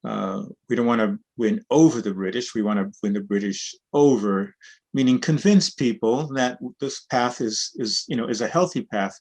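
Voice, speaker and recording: A man's voice: medium pitch, moderate pace, darkish tone. A man in his sixties, originally from Cuba, speaking as an interview guest in a reflective, earnest way. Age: 60s. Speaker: guest, cooperative organizer and trainer. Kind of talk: conversational interview.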